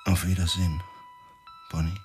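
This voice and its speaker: Actor as low-voiced, subdued condemned prisoner. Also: male voice, level tone, faint music behind, close to an everyday voice. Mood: sorrowful farewell. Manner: subdued, halting, earnest. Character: gentle condemned prisoner, a husband and father saying farewell. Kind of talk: German audio drama acting.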